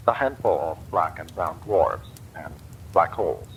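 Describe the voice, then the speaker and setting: A man reading a horror story aloud, in a slow and expressive way. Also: low-pitched, a little hiss or hum, low-quality recording. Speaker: story reader.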